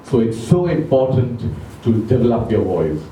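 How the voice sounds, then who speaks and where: A man giving an educational talk to a club audience, speaking slowly and deliberately, asking them questions, English not his first language. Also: mid-range voice, big pitch swings, dark tone, distant mic, slight background noise. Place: club meeting room. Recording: echoey room recorded from afar.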